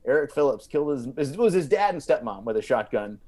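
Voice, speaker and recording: An American man telling comedic stories casually, in an animated, digressive way, often interrupting himself. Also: mid-range voice, quick pace. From small-town United States. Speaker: podcast guest comedian.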